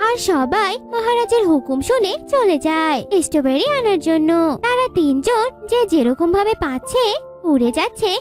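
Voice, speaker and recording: A woman's voice: extremely high pitch, very animated, normal speed, soft background music. A woman narrating a children's cartoon story in a scripted storytelling style.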